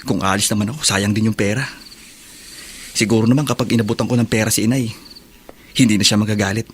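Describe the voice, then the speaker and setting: A man's voice: on the low side, fairly even intonation, fast, a little hiss or hum, close to an everyday voice. A man playing a defiant, troubled young son in a Filipino radio drama, with a sullen, flippant manner. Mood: impatient muttering.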